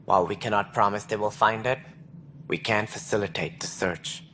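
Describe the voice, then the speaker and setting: An actor playing an officious alien station director in a video game, speaking precisely and formally with a wry, earnest streak. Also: male voice, on the low side, moderate pace, pushed and bright, a little hiss or hum. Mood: earnest, stately. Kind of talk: video game dialogue acting.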